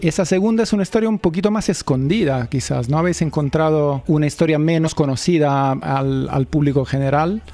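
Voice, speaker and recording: Higher-voiced man hosting a radio interview. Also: fairly quick, hardly any pauses, dark tone, dry recording. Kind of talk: conversational radio presenting.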